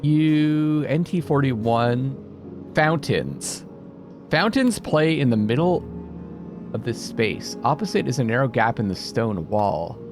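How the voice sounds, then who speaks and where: Male game streamer, mid-pitched and very expressive, reads on-screen text with drama and reasons aloud, clear music underneath. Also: takes it slowly. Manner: animated, dramatic when reading aloud, thinking out loud. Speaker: video game streamer playing a narrative game. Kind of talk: let's-play commentary, reading game text aloud. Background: music behind the voice.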